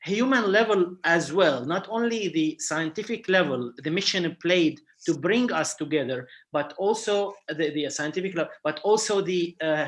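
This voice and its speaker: A Syrian man with an Arabic accent speaking on a video call panel, halting and searching for words. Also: on the high side. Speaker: archaeologist panelist.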